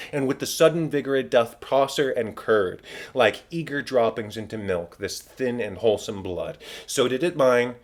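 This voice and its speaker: A man voicing the ghost of a murdered king, a solemn, tormented, vengeful father declaiming in drawn-out phrases in a Shakespeare audiobook. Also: fairly low pitch, close to an everyday voice. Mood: grave, wronged. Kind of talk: dramatic Shakespeare audiobook reading.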